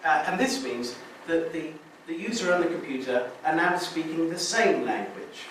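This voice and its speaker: A man's voice: on the high side, lively intonation, moderate pace, a bit bright. A man giving a technical conference talk, explaining step by step, with frequent restarts and fillers. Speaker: conference speaker. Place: lecture room. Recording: very echoey hall, distant mic, faint noise.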